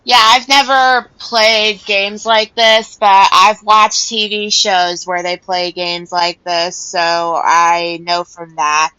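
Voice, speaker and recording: A woman's voice: medium pitch, flat delivery, slow pace, few pauses, bright, forceful tone, smooth, no room sound, low-quality recording. A woman giving casual gameplay commentary, with informal, rambling asides. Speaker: gamer.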